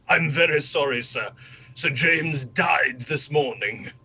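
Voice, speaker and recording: A man's voice: fairly high pitch, lively intonation, slow pace, sharp tone, full character voice. A man acting a grieving colonel of fifty in a radio drama, courteous but curt, with stiff formality. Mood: grave, strained. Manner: stiff, formal, clipped politeness. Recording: clear background noise.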